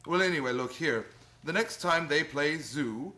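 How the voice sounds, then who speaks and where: A man playing a kindly, playful old Santa Claus in a radio play, gentle and coaxing, with hesitant little interjections. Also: on the high side, animated, moderate pace.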